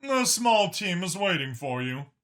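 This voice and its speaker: A man voicing a composed, dryly witty alien ally who gives measured orders in a science fiction audiobook. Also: high-pitched, sing-song, fairly bright tone, pitched above the performer's own voice, exaggerated voice.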